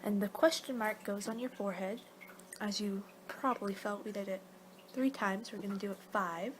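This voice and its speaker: A woman's voice: medium pitch, normal speed. Rambling woman doing whispery ASMR roleplay. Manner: rambling, playful, often losing her train of thought. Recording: clear background noise.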